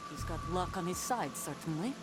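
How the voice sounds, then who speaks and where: A woman's voice: lowish voice, animated, normal speed, close to an everyday voice. An actress playing a bitter female Russian mercenary commander in a video game, speaking in a hard, terse, defiant way. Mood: hard, resolute. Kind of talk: video game cutscene acting. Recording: music under the voice. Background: music.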